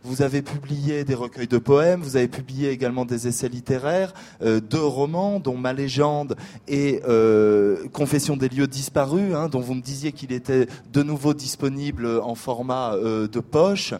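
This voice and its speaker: A man hosting a literary discussion, introducing guests and asking measured questions with frequent hesitations. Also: mid-range voice, dark tone. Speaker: host.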